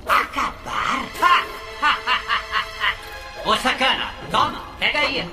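An actor with a Brazilian accent playing a greedy, mocking female crook boss in a dubbed children's cartoon, theatrically sliding from sweet coaxing to shouting. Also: medium pitch, expressive, very slow. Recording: loud music behind the voice.

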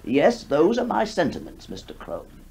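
A man playing a troubled, earnest country gentleman in a radio ghost story, hesitant and musing. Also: on the high side, huge pitch swings, normal speed, vocal fry, a little hiss or hum, full character voice. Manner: hesitant, musing, with ums and sighs.

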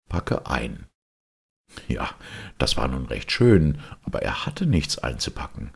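Very deep, gravelly male storyteller. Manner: theatrical, varied. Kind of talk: expressive fairy-tale narration.

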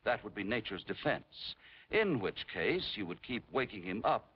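Expressive 1950s narrator, a man. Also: on the low side, breathy edge. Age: middle-aged. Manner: measured, dramatic, formal. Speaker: presenter and narrator. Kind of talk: 1950s television scripted narration. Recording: dull vintage 1950s film soundtrack, faint hiss.

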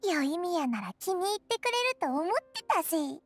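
A woman voicing a tiny, cheeky, childlike fairy companion in an anime-style game, squeaky and eager. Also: very animated, normal speed, heavily acted. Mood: musing. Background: game music.

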